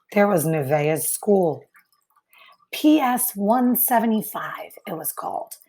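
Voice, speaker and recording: A woman reading a picture book aloud to children as a teacher, phrasing deliberately with many pauses and adding brief asides to young listeners. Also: mid-range voice, a little mellow.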